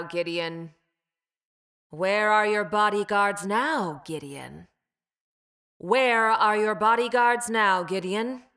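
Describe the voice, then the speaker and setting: A woman voicing a cold, haughty, cat-like female bioweapon in a video game, speaking formally with measured, precise enunciation and clinical detachment. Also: on the low side, pushed and bright, exaggerated voice. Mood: cold, threatening. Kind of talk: video game character voice lines.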